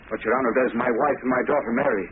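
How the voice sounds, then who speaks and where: A man's voice: mid-range voice, fast, heavy background noise, lightly acted. An actor playing a frightened, bitter, pleading grocer and family man in an old radio drama. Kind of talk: 1940s radio drama acting. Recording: tinny old radio, loud crowd murmur.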